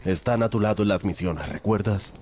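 A man voicing a loyal, steady stalker comrade in a Spanish video game dub, measured and earnest. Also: on the low side, a little hiss or hum, natural voice. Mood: reproving.

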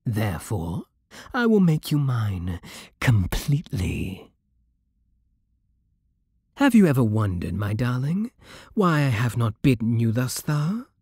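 A man playing a possessive, commanding vampire king in an intimate ASMR roleplay, with a hushed, breathy British delivery that is slow and deliberate. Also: medium pitch, sing-song, dry recording, studio quality, heavily acted. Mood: seductive, solemn.

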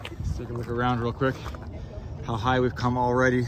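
A man talks to his camera while climbing a rocky desert trail, upbeat and chatty as he points things out along the way. His voice sits at a middling pitch, and steady wind and outdoor noise run underneath it. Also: normal speed. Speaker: hiking vlogger. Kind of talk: casual hiking commentary. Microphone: handheld camera or phone.

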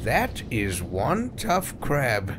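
A man voicing a folksy, wry old male dwarf cleric in a comic fan dub, gravelly and unhurried. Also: medium pitch, huge pitch swings, heavy background noise, full character voice. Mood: laid-back. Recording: loud music under the voice.

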